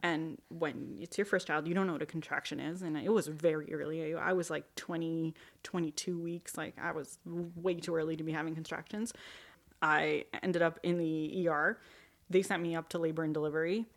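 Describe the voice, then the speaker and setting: A young woman and new mother telling her pregnancy story in a relaxed interview, with many fillers and restarts. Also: deep voice, a little fast. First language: not French. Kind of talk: personal testimony interview.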